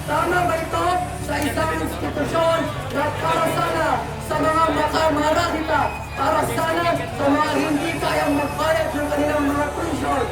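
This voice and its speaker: High-pitched young Filipino woman, echoey rally speech. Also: level tone, moderate pace. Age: young adult. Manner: emphatic, rhetorical, direct appeals. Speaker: student protest speaker. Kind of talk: impassioned protest speech. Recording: echoey outdoor rally, distant, crowd noise, music.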